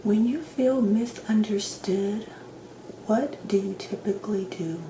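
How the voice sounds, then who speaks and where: A woman plays a doctor in soft-spoken ASMR, with slow, gentle delivery that often drops to a whisper. Her questioning is measured and clinical. The recording is roomy and echoing, with clear background noise. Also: mid-range voice. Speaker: ASMR creator playing a doctor.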